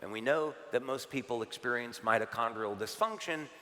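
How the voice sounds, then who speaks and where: A man from the United States giving a conference presentation at a convention, relaxed, with light asides and filler words. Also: mid-range voice, normal speed. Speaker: convention speaker. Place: large convention hall. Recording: reverberant hall.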